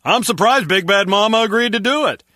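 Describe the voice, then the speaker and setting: A man's voice: high voice, brisk, sharp tone, no room sound, studio quality. A man playing an unbothered henchman in a video game, colloquial and matter-of-fact. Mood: casual, matter-of-fact. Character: casual, unbothered male henchman on base staff.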